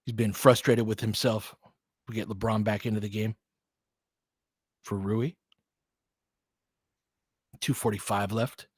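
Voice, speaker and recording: A man's voice: on the low side, level tone, unhurried, long pauses, husky, no room sound, studio quality. A man giving conversational, partisan basketball play-by-play commentary, reacting openly to the action as it unfolds.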